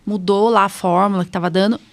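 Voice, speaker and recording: Casual Brazilian woman hosting, medium pitch. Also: steady tone, fast talker, no room sound. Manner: informal, interjecting short responses. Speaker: podcast host, allergist. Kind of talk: casual podcast interview.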